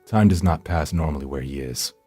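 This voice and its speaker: A man with a low, dark voice narrates a visual novel. He reads the script in a brooding, theatrical way over faint music. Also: a little fast, breathy edge. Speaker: gameplay channel host reading aloud.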